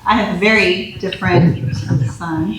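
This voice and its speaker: Female actor panelist, lowish voice, earnest storyteller; roomy echo. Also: unhurried, fairly bright tone, soft background music. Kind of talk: personal story in panel talk. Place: public panel event room.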